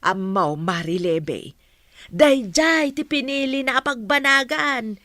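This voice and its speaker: An emotional, aggrieved mother from a village radio play, acted by a woman. Her voice is high, bright and forceful, with emphatic delivery and a dry, close sound. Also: animated, slow, dry recording, full character voice. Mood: gossiping. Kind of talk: radio drama acting in Ilocano.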